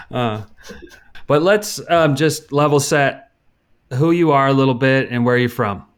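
A middle-aged man co-hosting a podcast, asking curious questions in relaxed chat. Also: mid-range voice, a little slow, clean tone. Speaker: podcast co-host. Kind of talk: casual interview chat.

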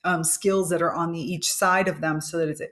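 A woman from Miami hosting a solo podcast, explaining ideas in a thoughtful, unhurried way with frequent fillers and "right?" asides. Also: lowish voice, steady tone, fairly bright tone. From United States (Miami). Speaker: podcast host. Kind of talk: reflective solo podcast talk.

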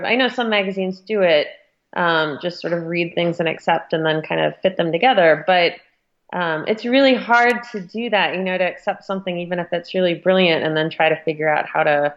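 Low-voiced woman on a dull line. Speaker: magazine editor, interview guest. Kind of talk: conversational interview answers.

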